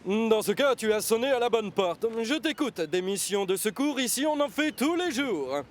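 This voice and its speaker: A man voicing a brisk, dutiful rescue helicopter in a children's cartoon. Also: high voice, very animated, a little hiss or hum. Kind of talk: children's animated series dub.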